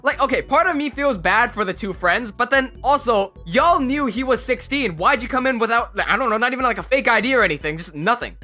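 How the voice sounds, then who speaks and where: Lively, animated story narrator. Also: medium pitch, fairly quick, hardly any pauses, soft background music. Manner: animated, wry asides. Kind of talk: reading online stories with commentary.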